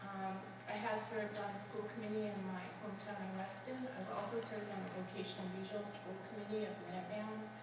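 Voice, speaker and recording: A woman superintendent on a school panel, telling her own story with many small pauses. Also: medium pitch, little pitch movement, very noisy. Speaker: superintendent principal. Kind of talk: panel remarks. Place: school hall. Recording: echoey hall, distant mic.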